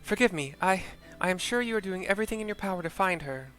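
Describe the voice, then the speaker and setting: Man putting on an affectionate motherly woman, lifted pitch. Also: lively intonation, quick pace, bright, forceful tone, low-quality recording. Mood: gentle, solemn. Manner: earnest, old-fashioned formal phrasing. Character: affectionate motherly woman, worried, gently formal.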